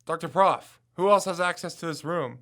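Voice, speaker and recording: A man's voice: fairly high pitch, moderate pace, bright, forceful tone. A young man playing an eager, confident college student turned amateur detective in an audio drama. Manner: confident, insistent.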